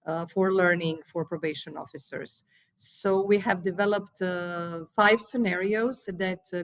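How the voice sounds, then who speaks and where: A woman from Croatia with a Croatian accent, a probation trainer answering interview questions in careful English, pausing often with fillers and restarts. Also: medium pitch, no echo. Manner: hesitant, many fillers and restarts.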